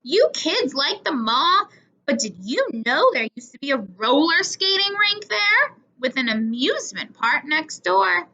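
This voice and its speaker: A woman's voice: sits high, sing-song, unhurried, pushed and bright, exaggerated voice. A woman voicing an outspoken, folksy old grandmother from a storybook, plainspoken and rambling as she reminisces. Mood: fond, reminiscing. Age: older. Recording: dull lo-fi recording.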